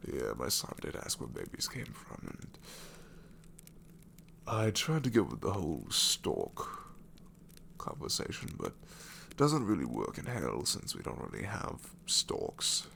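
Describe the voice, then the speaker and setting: A man voicing a sassy male shadow demon in an ASMR roleplay, snarky and rambling with soft whispered asides. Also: very deep voice, wildly swooping pitch, measured pace, gravelly, slight background noise, heavily acted.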